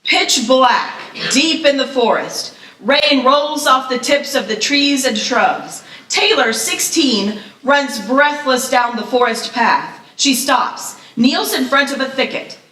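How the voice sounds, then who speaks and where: A woman with a fairly high voice narrates a screenplay in a scripted read. She sounds slightly distant, in an echoey room. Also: slow, bright, forceful tone. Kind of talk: scripted stage-direction reading at live table read.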